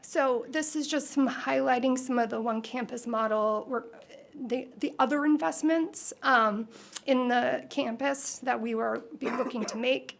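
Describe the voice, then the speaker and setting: Gravelly woman presenting at a board meeting. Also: a little high. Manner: halting, with many fillers. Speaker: school board member. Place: public meeting room.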